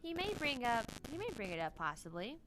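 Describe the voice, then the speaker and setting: A woman's voice: on the high side, very animated, brisk, over music. A woman voicing a small, earnest, guarded young female researcher in a visual novel. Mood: reserved. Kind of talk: visual novel read-aloud.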